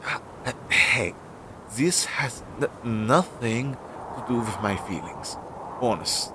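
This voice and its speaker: Crow familiar, acted by a man, insecure and earnest, unhurried halting delivery, for fantasy audio roleplay; clearly noisy recording. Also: mid-range voice, close to an everyday voice. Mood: sheepish. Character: anxious male crow familiar, insecure, tender, bonded to a witch. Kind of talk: audio roleplay addressed to the listener.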